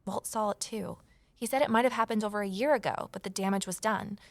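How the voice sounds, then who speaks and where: A woman's voice: mid-range voice, fast, dry recording, close to an everyday voice. A woman playing an earnest, anxious amateur sleuth who acts and narrates in an audio drama in a confiding, intense way. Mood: earnest, intent. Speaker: lead character and first-person narrator. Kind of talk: full-cast audio drama acting and narration.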